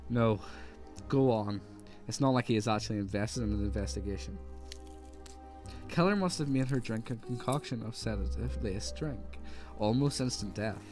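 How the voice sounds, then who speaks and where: A man's voice: lowish voice, moderate pace, darkish tone, faint music behind, natural voice. A man playing a stern, exasperated professional detective inspector in an amateur radio drama, speaking formally and precisely. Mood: analytical. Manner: formal, clipped, no contractions.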